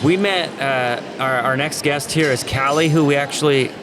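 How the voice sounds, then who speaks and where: A man's voice: fairly high pitch, normal speed. A middle-aged man hosting a podcast in a casual, jokey, bantering way. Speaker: podcast host. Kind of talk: casual chat. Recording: crowded convention floor, chatter behind.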